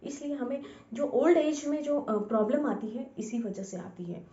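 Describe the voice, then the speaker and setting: A woman's voice: high voice, animated, moderate pace, mellow. A woman giving an informal instructional talk, addressing the listener directly, repeating phrases and mixing in English terms. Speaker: instructor, scientist. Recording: echoey room, dull lo-fi sound.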